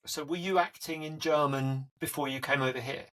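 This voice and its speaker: A man's voice: a little high, steady tone, brisk, bright, forceful tone. Male podcast host with winding questions. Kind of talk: conversational interview questions.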